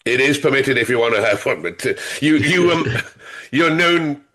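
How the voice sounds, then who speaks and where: Male host with a cold, mid-pitched, chatty, quick to joke. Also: lively intonation, bright, forceful tone. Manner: chatty and jokey, laughs often. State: has a head cold, sniffly. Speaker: podcast host. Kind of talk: casual interview.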